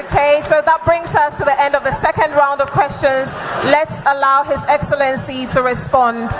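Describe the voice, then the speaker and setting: A woman moderates a town hall with a high voice and a polite, directing manner. Loud crowd noise fills the hall around her. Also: steady tone, moderate pace, over loud music. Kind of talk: formal event moderation. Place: large hall at public meeting.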